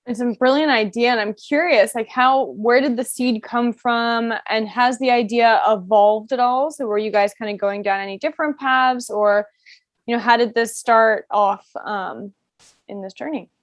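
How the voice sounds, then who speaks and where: A woman's voice: a little high, moderate pace, no room sound. A woman hosting a podcast, chatting casually as she interviews a guest.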